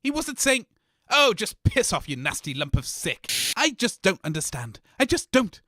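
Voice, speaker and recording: Sarcastic, grumpy comedy host; lively, bright, dry close-mic read. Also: male voice, high-pitched, normal speed, no echo. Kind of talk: scripted comedy sketch.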